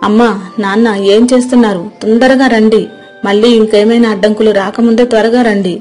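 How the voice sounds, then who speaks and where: A woman voicing a gentle, anxious young mermaid princess in a storybook tale, with a pleading, emotive delivery. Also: on the high side, fairly even intonation, a little fast, soft background music, natural voice. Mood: eager. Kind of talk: dramatic children's storybook acting.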